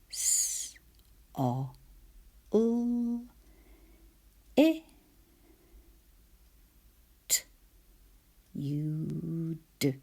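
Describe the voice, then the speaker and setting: A woman with a soft, often whispered voice teaching spelling and sounding out letters one by one. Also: low-pitched, creaky, slightly rough. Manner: slow, soft-spoken, sounds out each letter.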